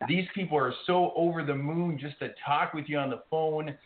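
A man's voice: on the high side, steady tone, moderate pace, slight background noise. A man hosting a call-in radio show, upbeat and steering it from caller to caller. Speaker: radio host. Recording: roomy, phone-like sound.

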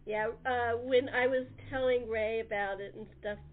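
A woman's voice: fairly high pitch, fairly even intonation, normal speed. Woman hosting over a thin phone line. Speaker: host and producer. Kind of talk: casual interview. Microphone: telephone or internet call.

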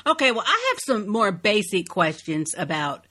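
A woman's voice: medium pitch, very animated, moderate pace, sharp tone. A woman co-hosting a casual, conversational interview podcast. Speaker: co-host interviewer.

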